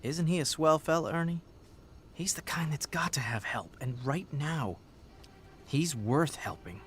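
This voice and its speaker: Earnest govt relief man, highish lively voice, kinda formal, radio drama. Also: unhurried. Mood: hushed, earnest. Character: earnest, idealistic government relief official. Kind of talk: naturalistic audio drama dialogue.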